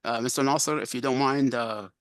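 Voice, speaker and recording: Fast, stumbling man in a hurry. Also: mid-range voice, fairly even intonation, fairly bright tone. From Beit Hanina. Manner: hurried, stumbling, many restarts. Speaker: meeting host handing over. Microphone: video call.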